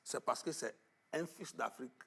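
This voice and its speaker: Husky man, lively and gravelly. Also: mid-range voice, moderate pace. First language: Arabic-educated, French not first. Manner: emphatic, hesitant fillers, repeats words. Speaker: guest on traditional knowledge. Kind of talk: studio interview, emphatic explaining. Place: TV studio.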